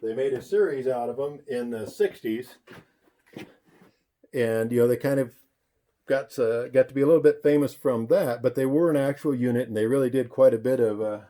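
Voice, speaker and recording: A man's voice: medium pitch, fairly even intonation, moderate pace, mellow. A man who owns the museum, giving an easygoing, knowledgeable guided tour. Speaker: museum owner.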